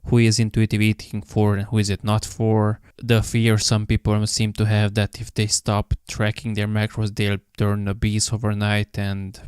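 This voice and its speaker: A man hosting an interview podcast, introducing the episode and asking questions in a measured way with frequent ums. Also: on the low side, monotone, darkish tone, smooth, no echo, clean studio sound. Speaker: podcast host.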